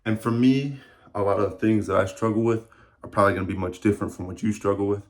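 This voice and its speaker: A man's voice: lowish voice, steady tone, fast talker. A man in his early twenties talking casually to camera in a rambling, reflective vlog with many fillers. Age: 20s. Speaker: fitness vlogger.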